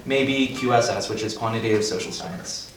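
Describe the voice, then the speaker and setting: A young male student hosts a relaxed group conversation in a dorm common room, captured from a distance. The room is very echoey and faint noise sits under his voice. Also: lowish voice, moderate pace. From Las Vegas, US. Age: young adult. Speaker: channel host, college student. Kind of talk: casual group chat.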